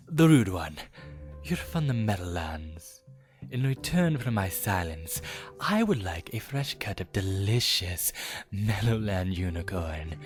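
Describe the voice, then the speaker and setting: Man voicing a sly, low-voiced wyvern guide. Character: sly, mercenary male wyvern guide with a hunger. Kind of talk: animated fantasy drama acting.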